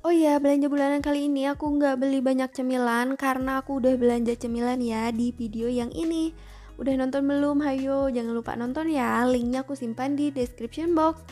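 A woman's voice: high-pitched, fairly even intonation, fairly quick, darkish tone, faint music behind. A young woman vlogger chatting through a grocery shopping trip, often asking viewers to comment below.

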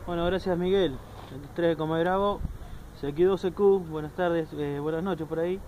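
A man's voice: steady tone, moderate pace, muffled recording. An Argentine man, around his thirties, talking casually as an amateur radio operator and reading out call signs. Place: outdoors at night on sandy open ground. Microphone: camera a few steps away. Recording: noisy outdoor recording with vehicle-like rumble.

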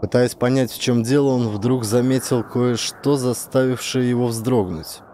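A man's voice: medium pitch, steady tone, normal speed, warm tone, a little hiss or hum. A man reading scripted fiction as a dramatic audiobook narrator.

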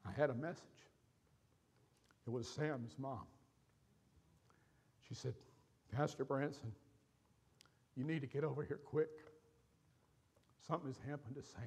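An older man preaching from a church stage, telling a personal story with pauses and feeling. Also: medium pitch, darkish tone, husky. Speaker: pastor. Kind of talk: sermon storytelling.

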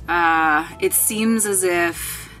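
A woman in her thirties with a slightly low voice chats to a handheld camera inside a small wooden boat cabin. She tells her story in a loose, wry way, shrugging off trouble with a laugh. Soft music plays faintly underneath. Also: drawn-out words, poor audio. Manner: chatty, wry, laughing at mishaps. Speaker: sailing vlogger. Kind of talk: casual vlog talk to camera. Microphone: handheld camera at arm's length.

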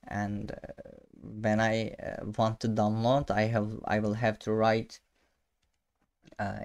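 A young man whose first language is Turkish walks through code in a casual, unhurried way. He pauses often, restarts sentences and fills gaps with "uh" while chuckling at his own slips. His voice sits at an ordinary middle pitch. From Turkey. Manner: halting, many fillers and restarts, self-amused. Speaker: programming tutor. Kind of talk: casual screen-share coding tutorial. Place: small room indoors.

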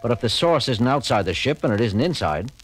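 An actor playing a decisive male starship captain who talks in a commanding, expressive way and narrates his log, in a 1970s audio drama. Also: mid-range voice, brisk, slightly rough, faint music behind.

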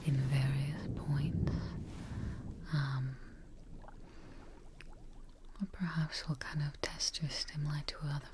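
Young female ASMR performer: breathy, soothing whisper, extremely slow delivery, gentle scientist character, close-miked with some hiss. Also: low-pitched. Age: young adult. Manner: gentle, soothing, reassuring, slowly repeating phrases. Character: gentle scientist tending their frightened creation. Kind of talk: soft-spoken whispered ASMR roleplay. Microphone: close-up microphone.

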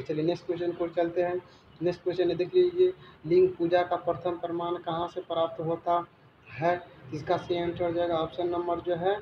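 A man teaching exam questions, reading questions and answers aloud in a halting way with stumbles and repetitions. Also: a little high. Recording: dull, roomy recording with background noise.

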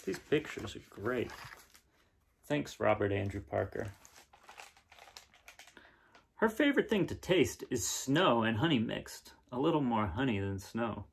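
Husky, creaky-voiced man reading aloud. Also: medium pitch, very animated, measured pace, pauses often. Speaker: reader of children's picture books. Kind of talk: gentle read-aloud storytelling and casual chat. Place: small living room indoors, seen on camera.

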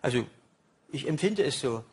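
Agitated husky man on a talk show. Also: medium pitch, lively intonation, moderate pace, a little mellow. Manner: agitated, emphatic. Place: TV studio with audience.

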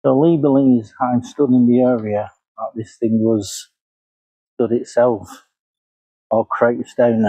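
Fifties investigator, musing and thinking aloud outdoors, warm low-key voice, close dry sound. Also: male voice, steady tone, slow, pauses often, breathy edge, no room sound, low-quality recording. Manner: musing, retracing steps aloud. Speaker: paranormal investigator. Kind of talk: casual walk-and-talk vlog. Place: woodland outdoors. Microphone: clip-on lapel mic.

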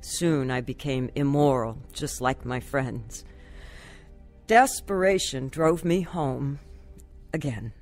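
A woman's voice: a bass voice, slow pace, a little mellow, faint music behind. An actress playing a troubled, anxious young woman telling her life story earnestly in a radio drama. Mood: somber confiding. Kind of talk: radio drama testimony narration and acting.

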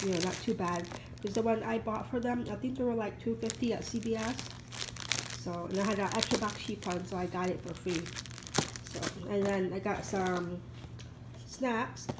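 A woman's voice: medium pitch, noisy background. A woman in her thirties with a congested, stuffed-up nose, chatting casually and rambling with many ums while showing items at home. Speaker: vlogger. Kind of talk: casual haul video. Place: home, at a table.

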